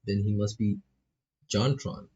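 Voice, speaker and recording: A man's voice: fairly low pitch, fairly even intonation, measured pace, dark tone, breathy edge. A man casually reading a game aloud and tossing in joking, slangy asides as a streamer. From Philippines. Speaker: gaming streamer. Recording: slightly dull, lo-fi mic. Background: faint game music.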